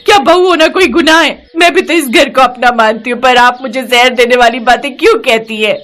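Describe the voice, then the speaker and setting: Woman as a meek high-voiced young daughter-in-law. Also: brisk, a bit bright. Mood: hurt, tearful. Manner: earnest, plaintive pleading.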